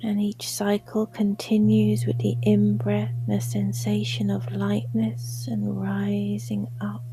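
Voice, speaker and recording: A woman guiding a sleep meditation in a soft, breathy near-whisper, gentle and soothing. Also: mid-range voice, completely flat delivery, slow pace, slight background noise, poor audio. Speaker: yoga nidra guide. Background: gongs and singing bowls.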